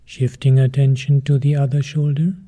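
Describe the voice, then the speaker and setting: A man leading a guided meditation as a teacher, calm and measured, in short phrases with pauses between them. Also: medium pitch.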